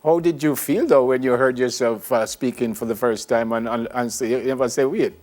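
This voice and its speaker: Male morning host, mid-pitched, halting questions that repeat words. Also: steady tone, a little mellow. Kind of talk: live TV interview.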